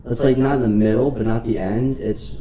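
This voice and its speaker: A man's voice: medium pitch, fairly even intonation, fairly quick, warm tone, a little hiss or hum. A man hosting a casual interview, friendly and easygoing, often saying "like". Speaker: podcast host.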